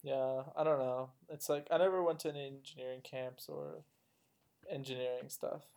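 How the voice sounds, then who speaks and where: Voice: medium pitch, steady tone, normal speed, darkish tone. A nineteen-year-old in a casual group chat, speaking candidly and laughing often.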